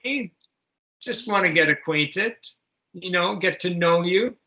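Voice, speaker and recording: A man's voice: high-pitched, lively intonation, unhurried, bright, forceful tone, lo-fi sound. A man running a tabletop game as game master, casually prompting his players with questions.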